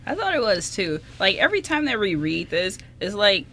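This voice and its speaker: A young woman with a bright, medium-pitched voice takes part in a casual group chat. She speaks informally, often saying "like". The recording carries faint noise. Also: very animated, moderate pace. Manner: informal, frequent 'like'. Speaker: radio show participant. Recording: noisy amateur radio show recording.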